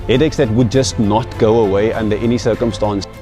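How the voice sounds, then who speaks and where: A man's voice: lowish voice, fairly even intonation, brisk, warm tone, soft background music. A content creator giving a fluent, confident testimonial about his work and routine. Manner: fluent, confident self-presentation. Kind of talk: testimonial interview.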